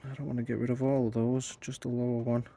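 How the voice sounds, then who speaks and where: A man with a croaky voice talking casually through his bonsai work as host of his channel, thinking aloud as he goes, outdoors. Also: medium pitch, flat delivery, fast talker, dark tone, slight background noise. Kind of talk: casual hands-on tutorial talk.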